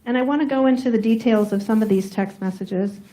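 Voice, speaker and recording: A soft-spoken woman prosecutor questioning a witness in a courtroom, measured and methodical. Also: on the high side, low-quality recording. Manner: measured, formal, methodical questions with some fillers.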